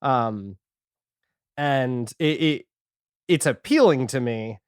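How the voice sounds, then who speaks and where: A man's voice: mid-range voice, takes it slowly, clear, resonant tone, dry recording, studio quality. A man chatting casually as a podcast guest, enthusiastic and rambling, with lots of filler 'like'. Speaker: podcast guest, audio fiction creator. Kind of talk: casual chat.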